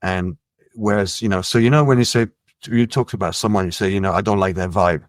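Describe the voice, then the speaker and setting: Middle-aged man from France, lowish voice, laid-back rambling guest, recorded dry and close. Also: a little fast, no room sound. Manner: relaxed, musing, trails off with fillers. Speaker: musician and label head, guest. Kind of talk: casual interview chat.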